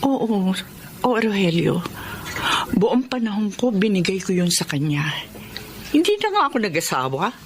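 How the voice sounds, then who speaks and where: A woman's voice: mid-range voice, sing-song, moderate pace, long pauses, a little hiss or hum. An actress playing a protective, worried middle-aged mother in a Filipino radio drama, with an earnest, concerned delivery. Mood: wistful, reserved.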